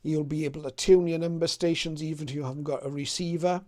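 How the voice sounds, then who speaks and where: A man's voice: fairly high pitch, steady tone, fast, a little mellow. A middle-aged or older man presenting an informal screen tutorial, explaining step by step in a loosely phrased, hesitant way. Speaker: presenter of a shortwave radio tutorial. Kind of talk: informal tutorial commentary over a website demo.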